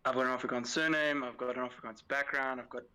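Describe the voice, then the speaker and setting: Bright-toned South African caller. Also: male voice, medium pitch, fairly even intonation, quick pace, clear, resonant tone. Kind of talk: casual call-in chat.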